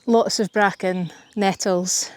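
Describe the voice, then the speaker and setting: A woman's voice: mid-range voice, fairly even intonation, slow pace, a little mellow. A woman co-hosting a vlog, chatting casually outdoors. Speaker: vlog co-host. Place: outdoors in an overgrown island garden. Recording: faint outdoor background noise.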